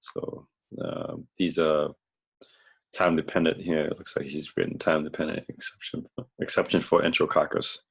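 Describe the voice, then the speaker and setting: A man with an extremely low, gravelly voice gives an informal lecture. He sounds under the weather, hoarse and crackling. He speaks haltingly and often corrects himself as he teaches. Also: little pitch movement. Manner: halting, frequently self-correcting delivery. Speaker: host and instructor. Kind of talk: informal screen-share lecture.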